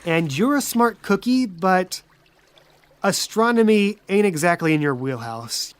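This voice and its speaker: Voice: medium pitch, lively intonation, measured pace, no echo. A game master narrating a tabletop role-playing game with descriptive, folksy phrasing.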